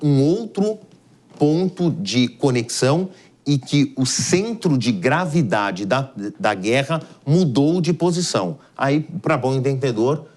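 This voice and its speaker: A man's voice: medium pitch, lively intonation, slow, a little mellow. Brazilian man explaining the news, didactic and emphatic. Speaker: news analyst. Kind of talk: live explanatory news commentary.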